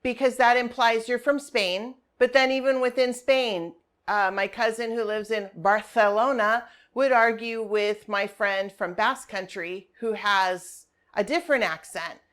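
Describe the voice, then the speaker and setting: Spanish teacher lady, kinda high voice, friendly walkthrough w lots of ums, computer mic. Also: a little slow, fairly bright tone. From family from Colombia. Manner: friendly, explanatory, many ums. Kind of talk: screen-share class walkthrough.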